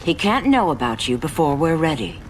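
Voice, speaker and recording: Stern warrior princess. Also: female voice, low voice, big pitch swings, slight background noise. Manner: measured, firm and authoritative. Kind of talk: game cutscene voice acting.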